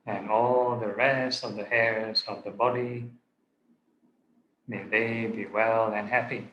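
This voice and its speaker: Slow-paced man guiding meditation. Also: fairly low pitch, steady tone, dull audio. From Singapore. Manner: deliberate short phrases with long pauses. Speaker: Buddhist monk and meditation teacher. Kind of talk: guided meditation instruction. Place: online video call session in a room. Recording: roomy echo, clear background noise.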